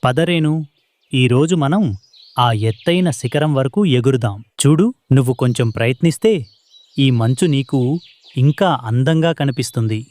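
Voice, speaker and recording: Guy doing a kind young husband bird in a cartoon, gentle and encouraging, medium pitch. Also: moderate pace, long pauses, natural voice. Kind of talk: cartoon moral story dialogue.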